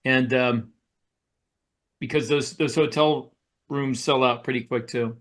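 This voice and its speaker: Mid-pitched man in casual conversation. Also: fairly even intonation, unhurried, a bit bright. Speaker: podcast co-host. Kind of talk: casual chat.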